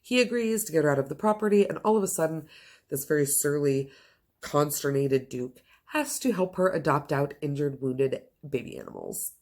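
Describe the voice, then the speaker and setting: A woman with a notably low voice talks straight to her viewers in a casual, conversational way. She is enthusiastic and emphatic, gushing as she reviews books. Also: animated, normal speed, darkish tone. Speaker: book reviewer and video host. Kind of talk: casual to-camera book chat.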